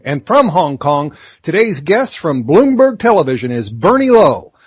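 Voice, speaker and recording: A man's voice: fairly high pitch, husky, dry recording. A man hosting an interview podcast, reading a scripted introduction and chatting with his guest. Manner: friendly, conversational, scripted intro. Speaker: podcast host.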